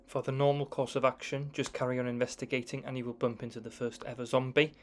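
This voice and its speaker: Man, medium pitch, game guide narrator, explanatory commentary, faint background noise. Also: flat delivery, fast.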